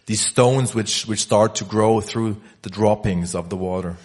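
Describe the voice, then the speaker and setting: A man's voice: fairly low pitch, unhurried. A man interpreting a sermon line by line, often restarting and repeating words as he searches for the right phrasing.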